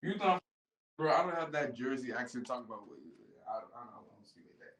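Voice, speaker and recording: A man's voice: medium pitch, animated, fast talker, a bit bright. A man from the United States in casual, slangy video-chat talk, often repeating his phrases. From Charleston, United States. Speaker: stranger on a random video chat.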